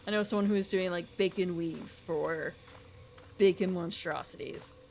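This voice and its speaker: A woman's voice: lowish voice, moderate pace, gravelly, a little mellow, slight background noise. An American woman giving casual, rambling live game commentary as a streamer, chatting informally with viewers. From United States.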